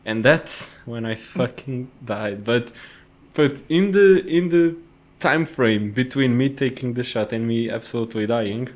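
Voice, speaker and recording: A young man with a medium-pitched voice chats on a podcast. He tells personal anecdotes casually, poking fun at himself as he goes. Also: measured pace. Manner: joking, self-mocking.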